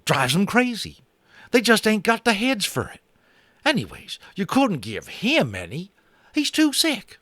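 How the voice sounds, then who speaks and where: A man voicing a jittery, hard-drinking tiny cowboy with a high, strained, twangy voice and frontier slang. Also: wildly swooping pitch, measured pace, sharp tone, no room sound, exaggerated voice. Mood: indignant. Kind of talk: audiobook character voice.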